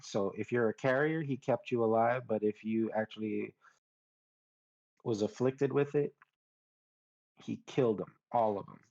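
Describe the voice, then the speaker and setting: Low-voiced man recapping on dull audio. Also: a little slow, pauses often, darkish tone. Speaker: panelist on a nerd culture show. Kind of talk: casual storytelling.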